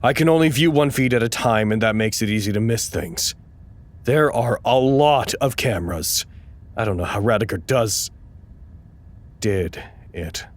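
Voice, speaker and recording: A man playing an earnest, anxious research-site staffer in an audio drama, with a measured, hesitant delivery. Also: mid-range voice, natural voice. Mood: sober, weary reporting. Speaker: actor in an audio drama podcast. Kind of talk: naturalistic audio drama acting, log narration and dialogue.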